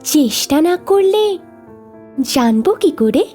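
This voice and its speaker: A woman playing a determined, earnest young woman in an audio story, speaking with deliberate care. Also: extremely high pitch, big pitch swings, dark tone, full character voice. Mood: cool, determined. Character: determined young woman searching her grandmother's past, cool, earnest. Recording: music bed behind the voice.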